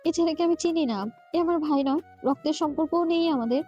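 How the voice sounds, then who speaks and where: A woman's voice: high voice, brisk, mellow, lo-fi sound, faint music behind, pitched above the performer's own voice, lightly acted. A woman voicing a timid, fearful, devoted young girl in an audio love story.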